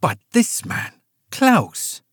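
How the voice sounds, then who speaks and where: A man's voice: drawn-out words, no room sound, clean studio sound. A man voicing a shaken adult daughter who speaks urgently and pleadingly, in a storybook reading.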